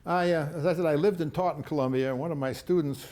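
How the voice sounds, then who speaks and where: A man's voice: a little high, fast talker, a little mellow, roomy sound. A man giving a rambling talk on foreign policy, with chuckling asides, answering audience questions. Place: lecture hall.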